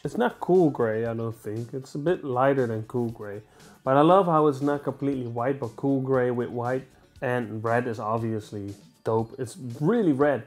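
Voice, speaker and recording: A man in his twenties with a Dutch accent, talking casually and excitedly about a new pair of sneakers in a small room. He rambles, restarts his sentences and throws in a lot of fillers. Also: mid-range voice. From Netherlands. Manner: chatty, enthusiastic, rambling with restarts. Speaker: sneaker vlogger. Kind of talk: casual unboxing review. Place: small indoor room, seated on a sofa.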